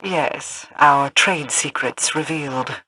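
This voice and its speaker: A woman's voice: low voice, slow, sharp tone, dry recording, full character voice, rough recording. An actress voicing an aloof, commanding queen in a video game, with a formal, solemn delivery. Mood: solemn, cool. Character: regal, aloof, commanding queen of a fallen realm. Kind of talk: scripted video game dialogue.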